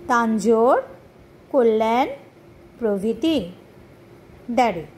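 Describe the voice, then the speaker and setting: A woman dictates a question-and-answer lesson to schoolchildren in a deliberate, measured teaching manner. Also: a little high, slight background noise. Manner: deliberate, spelling out punctuation as dictation. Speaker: history tutor for schoolchildren.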